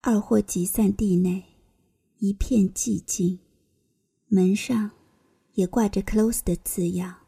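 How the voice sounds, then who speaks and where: Slow, dark, husky woman narrator. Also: medium pitch, fairly even intonation. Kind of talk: scripted drama narration.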